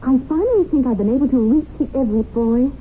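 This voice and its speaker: An actress playing an earnest, idealistic young schoolteacher wife in a radio drama, gently pleading and moralizing. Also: female voice, sits high, animated, quick pace. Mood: earnest, concerned. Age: young adult. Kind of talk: 1970s radio drama acting. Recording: tinny, noisy old radio broadcast.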